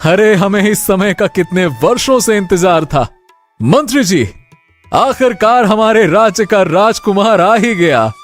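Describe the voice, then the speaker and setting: A man's voice: sits high, animated, unhurried. A man playing a grand, hearty king in a cartoon story, with a regal, expansive manner. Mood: jubilant. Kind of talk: Hindi cartoon story dramatic acting. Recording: music under the voice.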